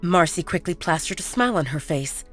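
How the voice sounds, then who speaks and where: A woman's voice: on the low side, lively intonation, fairly quick, a bit bright, soft background music. A woman narrator reading a scripted romance audio story in the third person.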